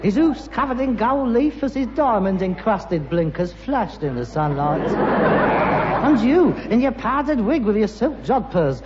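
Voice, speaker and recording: A man's voice: high voice, very animated, a little slow, a crowd in the background. An actor playing a bitter, pretentious rag-and-bone man in a British sitcom, with a London accent and a sarcastic, mock-grand delivery. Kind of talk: British sitcom acting before an audience. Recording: muffled, noisy, reverberant old sitcom soundtrack.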